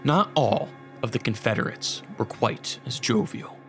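A man with a gravelly voice reading scripted narration for a radio drama. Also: medium pitch, a little slow, a bit bright, soft background music.

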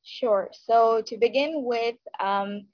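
A woman's voice: on the high side, slow pace, no room sound. Woman podcast guest, dry muffled recording. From India, raised in Saudi Arabia. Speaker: podcast guest, startup founder. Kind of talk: conversational personal account in an interview.

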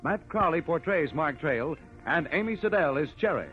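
A man's voice: fairly high pitch, expressive, normal speed, natural voice. A man narrating a 1950s radio adventure serial in a dramatic, declamatory way. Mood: formal. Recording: dull old radio recording with loud music.

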